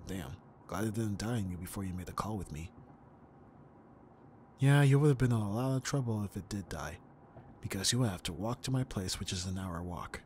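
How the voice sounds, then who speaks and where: A man's voice: lowish voice, lively intonation, fairly quick, pauses often, a little mellow, a little hiss or hum, lightly acted. A young man acting as an easygoing, teasing best friend in a casual, intimate ASMR roleplay. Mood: playful, teasing.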